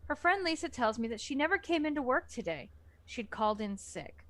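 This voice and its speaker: Woman, casual book club reader, first-person narration, plain even delivery. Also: sits high, brisk. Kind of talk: reading a novel aloud with casual chat.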